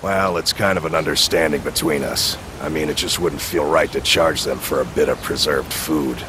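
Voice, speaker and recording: A very deep-voiced older courier. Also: male voice, moderate pace, background noise. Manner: folksy, plain, good-natured. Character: older male courier, kindly and weary.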